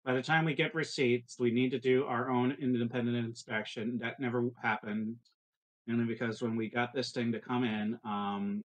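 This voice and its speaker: A man playing a tabletop roleplaying game, explaining casually in a halting manner. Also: mid-range voice, flat delivery, low-quality recording.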